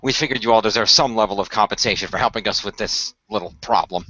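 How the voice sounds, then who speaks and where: Man, bright forceful voice, measured explanatory delivery, formal wording, radio play, dry and slightly dull recording. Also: on the low side, no room sound. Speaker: radio play performer and narrator. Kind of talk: semi-improvised radio play dialogue and narration.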